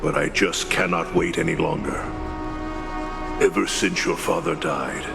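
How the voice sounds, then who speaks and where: A man playing a grave, bitter older teacher and warrior in a fantasy game, speaking formally with a deep, gravelly voice. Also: steady tone, full character voice. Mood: solemn, weary. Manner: formal, slow, weighty. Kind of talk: dramatic game voice acting. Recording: echoey hall, music behind.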